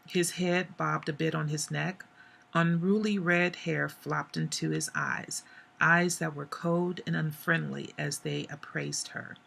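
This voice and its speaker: A hoarse woman, an author, casually reading her own novel aloud, chatty with pauses. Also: low voice, fairly bright tone.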